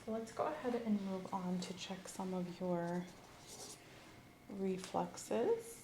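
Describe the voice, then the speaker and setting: A woman hosting an ASMR physical exam roleplay, giving calm, step-by-step instructions in a soft voice. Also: mid-range voice, slow pace. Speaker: ASMR host performing an exam. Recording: roomy with faint hiss.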